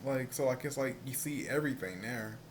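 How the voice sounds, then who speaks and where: Soft-voiced man who stutters. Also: mid-range voice, a little hiss or hum. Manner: stuttering, halting with many repeated words and fillers. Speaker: vlogger. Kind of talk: casual personal vlog monologue.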